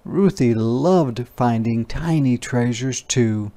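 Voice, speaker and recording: A man narrating a children's picture book aloud in a deliberate, expressive storytelling style. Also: medium pitch.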